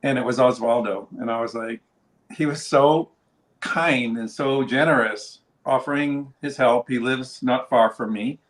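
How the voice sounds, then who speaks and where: A man casually introducing a friend on a livestream, speaking in a relaxed, slightly hesitant way. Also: mid-range voice, measured pace, rough recording. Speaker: video creator introducing a guest. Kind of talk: casual interview chat.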